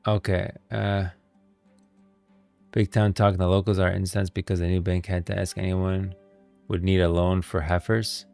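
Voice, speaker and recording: A man hosting a casual gaming livestream, chatting informally, thinking aloud in a halting way and repeating phrases. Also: sits low, level tone, creaky, warm tone, soft background music. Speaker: streamer, host of a gaming lore stream. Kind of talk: casual livestream chat, reading posts aloud.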